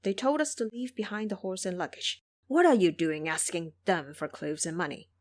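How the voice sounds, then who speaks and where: A woman as a fretful, soft-spoken monk master. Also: fairly low pitch, normal speed, natural voice. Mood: worried, scolding. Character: timid, fretful monk master, male, gently scolding. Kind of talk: audio drama storytelling.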